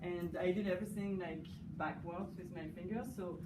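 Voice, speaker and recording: French-accented woman, low voice, distant and echoey. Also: steady tone, moderate pace. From France. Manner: hesitant, many fillers, warm laughter. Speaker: guest artist. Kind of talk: casual interview. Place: cafe gallery. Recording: echoey room, distant mic, background noise.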